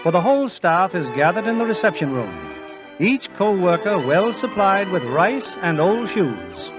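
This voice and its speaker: A man's voice: high-pitched, very animated, normal speed. A man narrating a scripted 1940s radio drama as announcer. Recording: 1940s radio broadcast with music.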